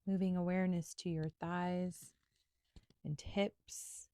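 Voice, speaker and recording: A woman instructor leading a guided meditation in a gentle, soothing, unhurried manner with pauses. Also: on the low side, flat delivery, no room sound.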